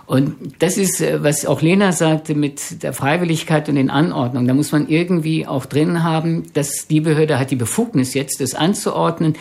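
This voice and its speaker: Gravelly, rather high man speaking earnestly. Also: a little mellow. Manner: earnest, halting, repeats words. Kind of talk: radio talk interview.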